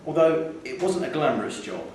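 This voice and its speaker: A man's voice: a little high, very animated, fairly quick, a little mellow. A man reading a literary short story aloud at a live event in a theatre. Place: theatre venue, indoors. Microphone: distant from speaker. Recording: very echoey, distant mic, faint noise.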